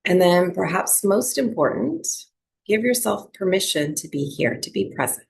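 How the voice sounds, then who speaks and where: A woman teaching yoga and breathwork, gently guiding a relaxation meditation with soothing, unhurried instruction and long pauses. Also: mid-range voice, lively intonation, gravelly, poor audio.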